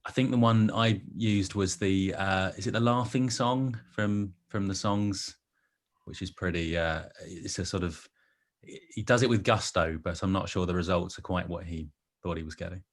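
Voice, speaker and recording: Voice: sits low, normal speed. A speaker telling an anecdote casually in a group discussion, in a hesitant, understated way. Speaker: participant, past quiz event host.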